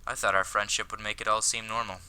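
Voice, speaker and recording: Man playing a bright-voiced, earnest lovestruck high school boy. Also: flat delivery, fast talker, clean tone. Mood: adoring. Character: earnest, lovestruck, self-conscious male high school student in anime visual novel.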